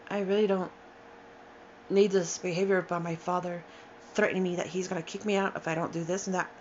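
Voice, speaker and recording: A woman in her forties telling a painful personal story, halting and emotional, with sighs and restarts, tired and upset. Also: fairly low pitch, little pitch movement, darkish tone, muffled recording, a little hiss or hum. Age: 40s. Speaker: storyteller sharing a personal account. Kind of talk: unscripted personal testimony.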